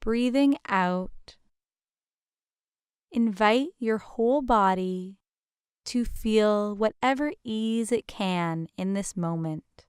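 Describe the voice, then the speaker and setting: Female meditation guide: gentle, soothing, very measured delivery, close dry sound. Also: mid-range voice, clear, resonant tone, no room sound. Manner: gentle, soothing, invitational, with deliberate pauses.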